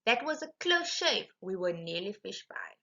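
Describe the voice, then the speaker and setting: A woman's voice: mid-range voice, big pitch swings, normal speed, pushed and bright, highs cut off. A woman voicing a relieved, chatty little fish in a children's storybook.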